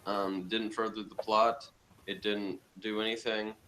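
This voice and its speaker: A man's voice: fairly low pitch, little pitch movement, a little slow, bright, forceful tone. A man giving a blunt, dismissive review in casual conversation. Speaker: podcast reviewer. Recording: clearly noisy background.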